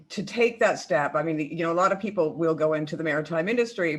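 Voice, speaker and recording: A woman hosting an interview, welcoming her guest and asking long, winding questions with plenty of fillers. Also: deep voice. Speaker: host.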